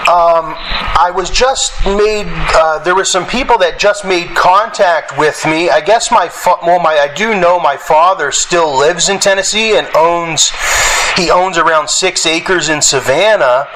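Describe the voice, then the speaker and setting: A young man making a phone complaint in a halting, rambling, insistent way. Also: on the high side, a crowd in the background. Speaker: caller recording a phone complaint to police dispatch. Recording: clearly noisy phone call recording.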